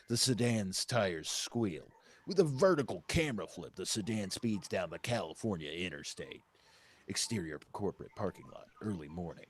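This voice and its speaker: A man reading stage directions as scripted narration at a live table read, occasionally correcting himself. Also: low-pitched.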